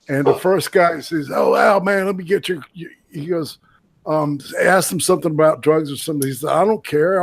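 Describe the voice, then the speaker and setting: A man telling a story in a casual podcast chat, swearing freely. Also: on the high side.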